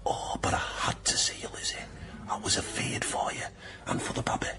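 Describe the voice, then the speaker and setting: An actor playing an earnest, defiant young working-class man with a Northern English accent in a period radio drama, often dropping into breathy whispers. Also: fairly quick, over loud music, natural voice. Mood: anxious, hushed.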